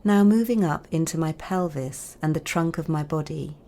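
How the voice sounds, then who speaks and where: Low, soft-toned woman guiding meditation. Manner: calm, soothing, measured delivery with long pauses. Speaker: meditation guide. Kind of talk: guided body scan meditation narration.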